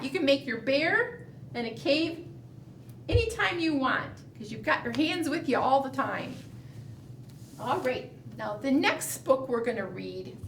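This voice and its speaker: A woman leading a preschool storytime, reading aloud and doing fingerplay in a sing-song manner, often putting questions to young children. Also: high-pitched. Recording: roomy, distant mic, faint background noise.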